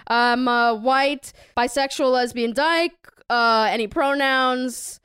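A woman's voice: high voice, steady tone, slow pace, a bit bright, clean tone, no echo, studio quality. A woman co-hosting a comedy podcast, playful and often laughing. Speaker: podcast co-host. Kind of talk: casual comedic podcast chat.

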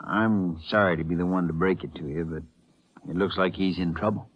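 An actor playing an apologetic, easygoing small-town sheriff with a Southern American accent in a 1950s radio western, polite and gentle. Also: male voice, sits low, steady tone, normal speed, dull audio. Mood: sympathetic. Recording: vintage 1950s radio drama recording.

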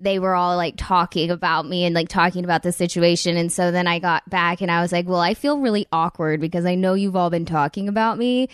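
Smooth-voiced woman in candid chat. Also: on the low side, fairly even intonation, no room sound, studio quality. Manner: candid, talks fast with many "like" fillers. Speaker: podcast host. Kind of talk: casual chat.

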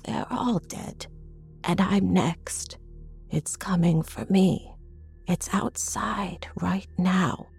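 Woman acting a frightened mother, breathy and whispering. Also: on the low side, a little mellow, soft background music, full character voice. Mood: despairing dread. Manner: drawn-out, gasping, pleading. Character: frightened, confused mother gripped by night terrors.

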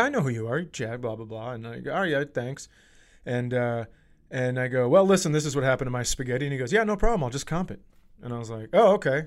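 A man hosting a comedy podcast, telling casual, profane stories in an animated way and acting out the quotes. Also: mid-range voice. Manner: animated, profane, acts out quotes in his anecdotes.

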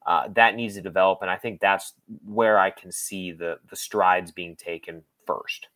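A man working as a sports broadcaster gives conversational analysis as a guest, thinking aloud in a halting way with repeated phrases. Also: low-pitched, steady tone, a bit bright, dry recording.

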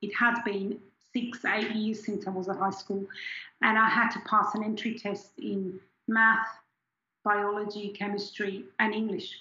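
Bright-voiced woman in her forties. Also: medium pitch, level tone, a little slow, reverberant, muffled recording. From Switzerland. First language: German. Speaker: scientist guest. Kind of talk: candid personal interview.

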